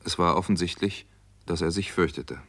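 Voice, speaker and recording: An actor playing a sober, wry doctor who narrates a 1960s German radio detective story in a measured, matter-of-fact way. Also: male voice, low-pitched, fairly bright tone. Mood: sober, reflective. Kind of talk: 1960s German radio drama acting and first-person narration.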